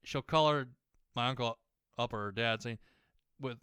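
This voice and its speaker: A young man ranting while playing a game, rambling, swearing a lot and often restarting his sentences, his voice slightly hoarse as it warms up. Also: mid-range voice, normal speed, no echo, studio quality. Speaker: gaming YouTuber.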